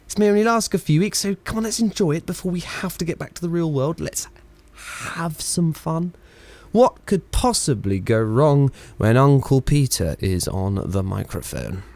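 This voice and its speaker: A man's voice: a little high, lively intonation, normal speed, a little mellow. A man acting an ebullient, irreverent English engineer in charge in a 1920s radio play, with theatrical, bantering old-chap phrasing. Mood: jaunty, mischievous. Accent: British.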